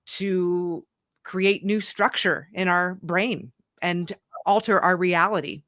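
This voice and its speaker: A woman's voice: on the low side, fairly even intonation, takes it slowly, fairly bright tone, dry recording, clean studio sound. A woman coach in her thirties, a guest telling her story in an earnest, reflective way. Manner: earnest, reflective, restarts and fillers. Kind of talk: conversational interview.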